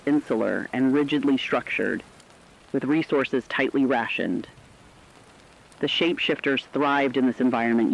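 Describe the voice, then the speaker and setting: A man with a medium-pitched voice narrating a scripted apocalyptic story in a solemn, measured manner. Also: highs cut off.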